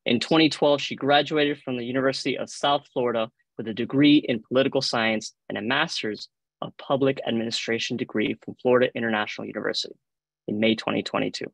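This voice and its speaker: A man with a Cuban accent reads formal introductions as a panel moderator. His pitch is average and the video-call sound is dry, with little room echo. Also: steady tone, fast, gravelly. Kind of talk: formal scripted introductions, reading biographies. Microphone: video call microphone.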